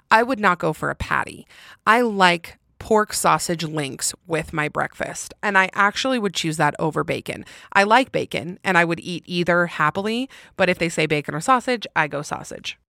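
Low-pitched woman podcaster chatting candidly, close and dry. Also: lively intonation, normal speed, vocal fry, no room sound. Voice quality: loud, intense voice. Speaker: podcast host. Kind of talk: casual solo chat answering listener questions.

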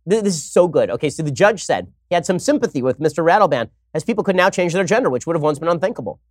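A man hosting an opinionated political commentary show, talking in a wry, sarcastic way and moving briskly from segment to segment. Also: fairly high pitch, expressive, no room sound, clean studio sound. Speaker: host.

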